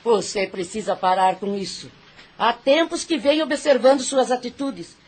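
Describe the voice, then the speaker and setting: A woman's voice: on the high side, normal speed, a little mellow, slightly rough. A woman playing a grumpy, scornful old female factory boss in an audio drama, scolding and bossy. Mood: scolding, mocking.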